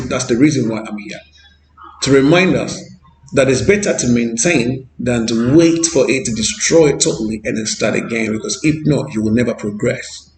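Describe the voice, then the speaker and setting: A man with a Ghanaian English accent speaks earnestly to camera from a street, repeating words and pausing on fillers. His voice has a gravelly edge. The sound is roomy, with faint street ambience behind him. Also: medium pitch, a little hiss or hum. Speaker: vlogger. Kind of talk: walking street vlog. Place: outdoors on a street.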